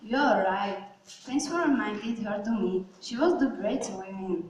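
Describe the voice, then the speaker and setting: A woman's voice: mid-range voice, unhurried, natural voice. Woman playing grumbling duke in an echoey hall. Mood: frank, grumbling. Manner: irritable, blunt complaining. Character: grumbling, blunt male duke, former plowman, widowed. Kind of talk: amateur puppet show dialogue. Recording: echoey hall, distant mic, background noise.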